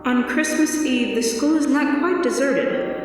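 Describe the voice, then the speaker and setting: A man playing a childlike yet ancient spirit in a radio drama, speaking in a measured, formal, solemn way. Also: high voice, faint music behind. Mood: gentle, grave. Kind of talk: old-time radio drama acting. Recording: echoey hall, distant from mic.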